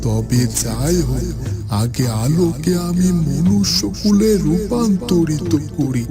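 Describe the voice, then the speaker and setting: A man voicing a caring, troubled dervish holy man in a cartoon, deliberately pondering aloud. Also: a little high, animated. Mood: worried. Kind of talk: slow dramatic cartoon monologue. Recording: loud music under the voice.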